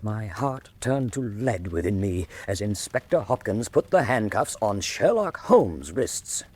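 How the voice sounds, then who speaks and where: A grave doctor narrating a mystery radio play, husky, super expressive intonation. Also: male voice, on the low side, unhurried, full character voice. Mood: grave, ominous. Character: grave, shaken male doctor recounting a mystery. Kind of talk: dramatic first-person scripted narration.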